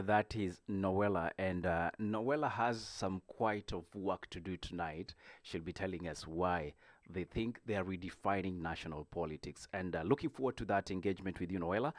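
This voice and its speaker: A man hosting a political discussion, speaking in a measured way with frequent fillers. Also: on the low side, no room sound, clean studio sound. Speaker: host.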